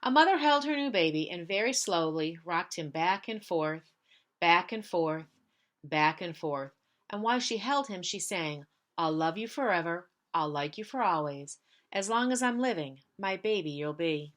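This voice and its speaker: A woman's voice: fairly low pitch, expressive, moderate pace, a bit bright. A woman reading a picture book aloud to young pupils as a member of school staff, lingering on repeated phrases. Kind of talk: children's storybook read-aloud.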